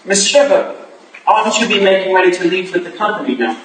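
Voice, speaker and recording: Distant and echoing, with clear noise behind, an actor plays a courteous Victorian gentleman. His voice is high for a man, his delivery dry and wry, as in a stage drama. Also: lively intonation, brisk. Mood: politely probing. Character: wry, polite Victorian gentleman, exasperated brother. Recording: echoey hall from far off, clearly noisy.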